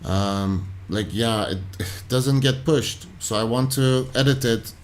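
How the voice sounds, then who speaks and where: A man from Iran hosts a livestream, talking casually and loosely, with his thoughts wandering as he reacts to viewers' comments. His voice sits in a middle pitch, and his phrases often trail off or restart. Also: slow pace, slight background noise. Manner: rambling, thinking aloud, reacting to chat. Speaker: livestream host.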